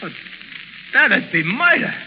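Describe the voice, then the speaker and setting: Excitable starving henchman, old radio drama: very high, swooping, hot-tempered, muffled sound. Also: takes it slowly, heavily acted. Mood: panicky, alarmed. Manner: excitable, shrill, melodramatic. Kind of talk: 1930s radio acting. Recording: muffled, hissy, reverberant 1930s radio recording.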